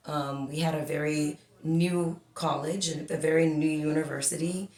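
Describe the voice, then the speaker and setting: Woman, forties, from the Bahamas, low voice, talkative interview guest, echoey room. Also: fairly even intonation, moderate pace, a little mellow. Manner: chatty, talks a lot with many fillers. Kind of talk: casual interview.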